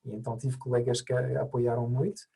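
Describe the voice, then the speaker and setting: Mellow-voiced man, hesitant and thoughtful. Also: medium pitch, flat delivery, fast, gravelly, breathy edge. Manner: thoughtful, many hesitations and restarts. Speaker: mediator, interview guest. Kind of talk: reflective interview answers.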